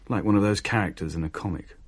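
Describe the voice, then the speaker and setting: An actor playing a British man recounting his family's haunting in a radio play, measured, wry and uneasy. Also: deep voice, breathy edge. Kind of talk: dramatised first-person narration.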